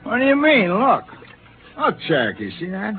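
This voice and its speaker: A man's voice: sits high, wildly swooping pitch, moderate pace. An actor playing a crude, drunken, scornful male crewman with a coarse, sneering manner in a 1950s radio drama; a tinny old broadcast with faint hiss. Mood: grumbling.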